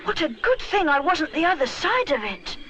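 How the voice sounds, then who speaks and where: A woman's voice: sits high, very animated, normal speed, a bit bright, a little hiss or hum, pitched above the performer's own voice. Woman doing an eager little boy bear. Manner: eager, polite.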